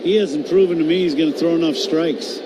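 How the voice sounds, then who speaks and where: High-voiced male baseball color commentator. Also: a little fast. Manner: conversational, opinionated. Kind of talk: live baseball analysis. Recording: noisy stadium ambience. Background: steady ballpark crowd noise.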